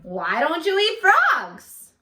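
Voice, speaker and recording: A woman's voice: very high voice, huge pitch swings, slow, pushed and bright, roomy sound, voice pushed up, exaggerated voice. A woman voicing a small, stubborn, discontented young frog from a children's storybook, pleading with whiny, eager questions. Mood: curious. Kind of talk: children's storybook read-aloud.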